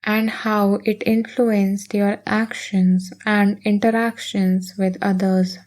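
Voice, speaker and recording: A woman leading a guided meditation in a soothing, gentle, unhurried manner with long pauses. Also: mid-range voice, flat delivery.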